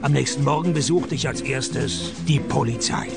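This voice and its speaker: An actor playing a wry, hard-drinking private detective in a radio play, dry and sardonic. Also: male voice, medium pitch, normal speed, creaky, over loud music, lightly acted. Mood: dry, laconic.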